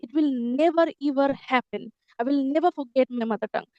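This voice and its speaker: A woman's voice: high-pitched, brisk, no room sound, dull audio. A middle-aged woman, explaining earnestly as a teacher and repeating herself to make her points. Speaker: English teacher. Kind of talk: conversational English lesson dialogue.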